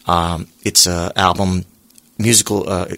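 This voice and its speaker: A singer being interviewed, talking in a relaxed, rambling way with lots of pauses and fillers. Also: male voice, low-pitched. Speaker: singer guest. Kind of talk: casual interview chat.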